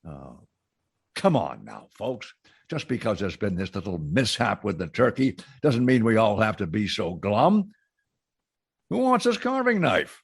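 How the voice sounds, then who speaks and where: A gravelly, husky-voiced boss in old-time radio comedy. He is polite and socially awkward, hemming and hawing slowly as he makes small talk. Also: on the low side, huge pitch swings, heavily acted. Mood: reassuring. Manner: hesitant, courteous, hemming and hawing. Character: polite, awkward middle-aged male boss as guest.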